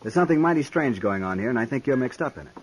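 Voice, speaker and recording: An actor playing a haughty, threatening young gentleman, stiff and demanding, in a 1950s western radio drama. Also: medium pitch, animated, racing, natural voice. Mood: cold, threatening. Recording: noisy 1950s radio recording.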